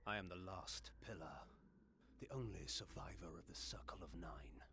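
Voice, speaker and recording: A man's voice: deep voice, gravelly, noisy background. An actor playing a proud, cold vampire nobleman in a video game, brooding and theatrical. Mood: brooding arrogance. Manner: sardonic, deliberate, theatrical. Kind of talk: dramatic video game monologue acting.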